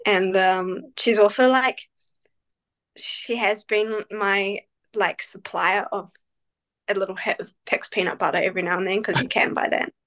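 A woman, speaking as a guest on a casual interview. Her pitch sits mid-range. She answers in a relaxed but halting way, full of fillers and restarts. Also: low-quality recording. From New Zealand. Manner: hesitant, many fillers, relaxed. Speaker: guest, professional cyclist.

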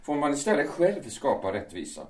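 A man in an audio drama playing a bitter understudy singer who calmly lays out his revenge, sometimes rising into fervent outbursts. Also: fairly high pitch. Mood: bitter fervor. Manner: cold and deliberate, swelling into fervent outbursts. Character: bitter vengeful male understudy, calm and menacing. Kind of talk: amateur audio drama acting. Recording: roomy, distant mic.

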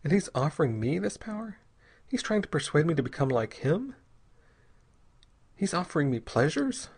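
A man voicing a grim hero who speaks quietly and coldly. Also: fairly high pitch, very animated, moderate pace, husky, voice pushed up, exaggerated voice. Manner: quiet, cold, clipped. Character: grim adventurer hero, cold and restrained.

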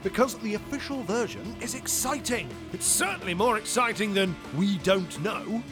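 A man's voice: high-pitched, lively intonation, normal speed, fairly bright tone, soft background music. A British man co-hosting a silly history comedy podcast, delivering mock-earnest scripted banter.

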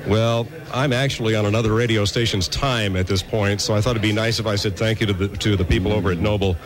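A man's voice: fairly low pitch, steady tone, quick pace. A man reminiscing casually as a radio guest, with a wry, self-deprecating manner. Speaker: former radio DJ, reunion guest. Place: hotel event, live broadcast. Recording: busy crowd chatter behind.

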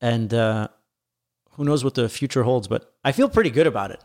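A man's voice: mid-range voice, lively intonation, brisk, a little mellow. A middle-aged man hosting a podcast in casual chat, laid-back, profane and self-mocking. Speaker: podcast host.

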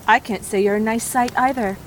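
A woman's voice: fairly high pitch, a little hiss or hum, rough recording. A woman playing a tough, protective young survivor with an intense, earnest manner in an audio drama. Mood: irritated.

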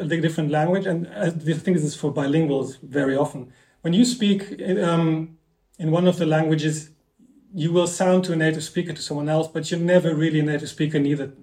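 A man with a fairly high voice, whose first languages are Dutch and German, asks long, searching questions in a relaxed chat. His speech meanders through ums and restarts. The room around him rings noticeably. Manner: inquisitive, winding questions with many ums. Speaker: podcast co-host. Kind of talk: casual music discussion. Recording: echoey room.

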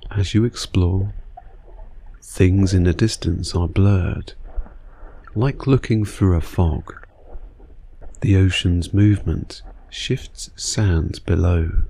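A man narrating a scripted guided sleep meditation in a soothing, unhurried manner with long pauses. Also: sits low, gravelly, dark tone, slight background noise.

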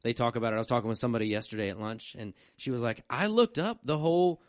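Man, podcast co-host, casual banter, storytelling, middle pitch, dry studio. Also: dry recording. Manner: jokey, storytelling, quick asides. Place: live stream room.

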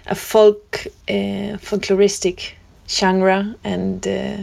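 Gravelly woman, hesitant and thoughtful. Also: medium pitch, completely flat delivery, drawn-out words, a little mellow. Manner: hesitant, with many fillers and restarts. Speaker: guest musician. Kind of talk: casual conversation.

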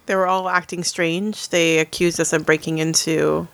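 Woman, loud voice, giggly, self-deprecating, lowish pitch, dry close mic. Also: little pitch movement, moderate pace, vocal fry, no echo. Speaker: player. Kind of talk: casual chat.

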